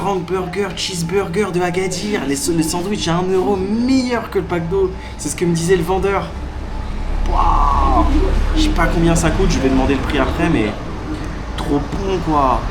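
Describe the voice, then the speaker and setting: A man chatting casually to the camera in a travel vlog, enthusiastic and full of fillers, at a busy local eatery. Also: fairly high pitch. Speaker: travel vlogger. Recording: street crowd noise behind.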